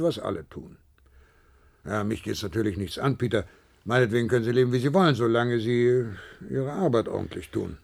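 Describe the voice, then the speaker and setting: Patronizing office boss, animated delivery. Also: male voice, mid-range voice, vocal fry. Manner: hesitant hemming, patronizing, pointed. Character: patronizing male office boss, skeptical and probing. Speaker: radio drama actor. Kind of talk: German science-fiction radio play acting.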